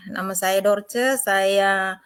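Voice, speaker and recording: A woman from East Kalimantan, a guest introducing herself in an unhurried way with fillers. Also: medium pitch, steady tone, a bit bright, smooth. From East Kalimantan, Indonesia.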